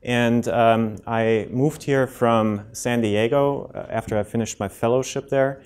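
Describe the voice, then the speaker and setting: A man's voice: medium pitch, fairly even intonation, a little slow, darkish tone, clean tone. Man with a German accent, thirties, heart doctor lecturing the public, friendly, hesitant ums, lecture room, handheld mic. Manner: friendly, plain explanations with many ums and restarts. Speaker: cardiologist giving a public health talk. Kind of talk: informal lecture to a community audience. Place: lecture room before a seated audience. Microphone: handheld and podium microphone.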